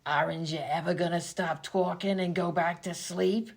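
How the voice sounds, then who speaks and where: A woman voices a fed-up sidekick in a radio comedy. The voice is low and creaky, delivered with weary deadpan grumbling. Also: moderate pace. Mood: weary, irritated. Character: grumpy, fed-up sidekick longing for quiet. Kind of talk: comedy radio-play acting.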